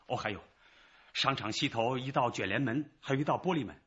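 A man's voice: mid-range voice, fairly quick, a bit bright, husky, lo-fi sound. A man playing a deferential, hesitant store manager giving a witness account in a radio crime drama. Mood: nervous recounting.

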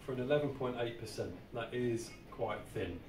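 Roomy, distant sound with clear background noise carries a British man's voice. He talks casually and jokily as a beer review host, often repeating his points. His pitch is average for a man. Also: normal speed. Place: small home bar room. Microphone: camera a short distance away.

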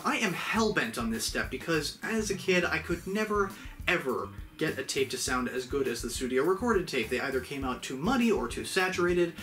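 Man, thirties, playful explainer host, very varied intonation, casual asides, recorded in a home room. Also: fairly high pitch. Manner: playful, animated, jokey. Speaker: video host. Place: small home room with hi-fi gear, indoors.

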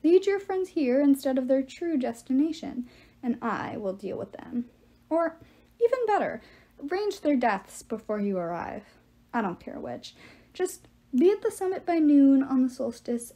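An ancient giant of a storybook villain, played by a woman narrator. He is menacing and patient, taunting his listener with deliberate, mock-courteous words. The tone stays mellow rather than sharp. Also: high-pitched, big pitch swings, pitched above the performer's own voice, full character voice. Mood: scheming. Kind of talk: audiobook character voice.

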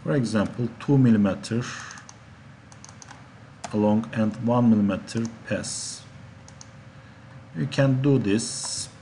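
Guy teaching software step by step, slow n halting, some background noise and keyboard clicks. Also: lowish voice. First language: Turkish. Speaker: instructor. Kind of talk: software tutorial narration.

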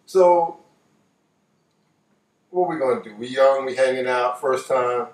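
A man in his late fifties with a New York accent, telling a personal story casually in an animated way, repeating phrases for emphasis. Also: mid-range voice, slow. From New York, United States. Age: late 50s. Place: living room, seated on a couch. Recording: roomy, voice distant from microphone.

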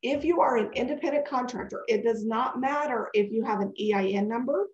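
Brief: congested, slightly high-voiced woman in her forties, chatty webinar presenter, dull roomy video-call sound. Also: fairly quick. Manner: chatty and digressive, answering questions. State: congested and scratchy. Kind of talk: live webinar talk. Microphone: webcam video call.

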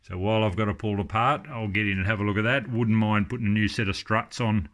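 A middle-aged man talking casually through a car restoration in his home garage, thinking aloud with many pauses and ums. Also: fairly low pitch, steady tone, fairly bright tone. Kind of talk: casual workshop commentary. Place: home garage workshop, indoors.

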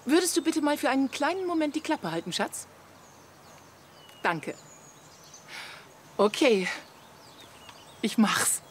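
Middle-aged wife on a campsite, dry and put-upon, slightly high register, radio comedy. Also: female voice, big pitch swings, slow pace, long pauses, slight background noise, full character voice. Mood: wry. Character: dry, long-suffering camper wife. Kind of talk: German radio play acting.

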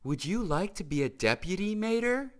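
A man voicing a kind, bright female sports car from a children's storybook in a sweet, emphatic manner. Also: high voice, sing-song, moderate pace, voice pushed up. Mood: bright, encouraging.

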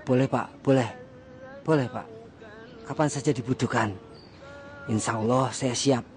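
An actor playing a soft-spoken former militant man who has come back from prison humbled and repentant, in a radio drama. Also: mid-range voice, fairly even intonation, measured pace, slight background noise, close to an everyday voice. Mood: remorseful. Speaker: villager returned from prison.

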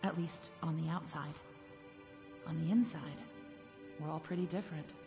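A woman's voice: low voice, fairly even intonation, normal speed. An actress playing a guarded, level-headed woman warrior in a game cutscene, composed, wry and firm. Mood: calm, wry. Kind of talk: video game cutscene acting. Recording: long reverb, faint music behind.

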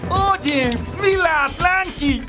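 An actor playing a wide-eyed, flattering young male fan in a cartoon, eager and inquisitive. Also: very high voice, drawn-out words, over loud music, full character voice. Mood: curious, impressed. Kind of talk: cartoon dub acting.

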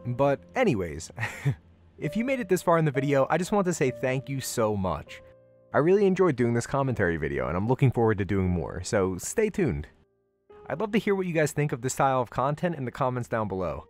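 A man's voice: medium pitch, wildly swooping pitch, fairly quick. A man hosting a video, reading a scripted review in an emphatic, conversational way. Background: background music.

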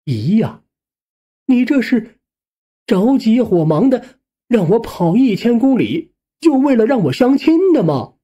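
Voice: medium pitch, very animated, unhurried, dark tone, muffled recording, pitched above the performer's own voice. A narrator voicing a reluctant young nephew in an audio story, colloquial and given to complaining. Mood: exasperated.

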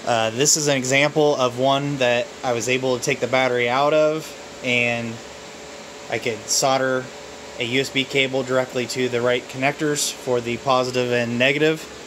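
A man giving a casual, unscripted walkthrough of his home office and phones, relaxed and halting, with plenty of fillers. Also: mid-range voice, noisy background. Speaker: vlogger showing his phone farm. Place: cluttered home office full of phones and computers. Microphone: handheld camera mic, filming while walking around.

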